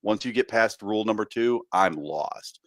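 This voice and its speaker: A man's voice: fairly low pitch, lively intonation, moderate pace, vocal fry, no echo, studio quality. A man co-hosting a podcast in casual chat, hesitant and often repeating words as he thinks aloud. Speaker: podcast co-host.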